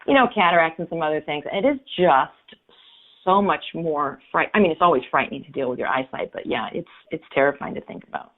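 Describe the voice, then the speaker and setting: An older woman speaks candidly as an interview guest, voice a little low and squeezed through a tinny phone line. She halts and restarts her thoughts as she talks. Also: animated. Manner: candid, halting, many restarts. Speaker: memoir author, podcast guest. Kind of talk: casual interview chat.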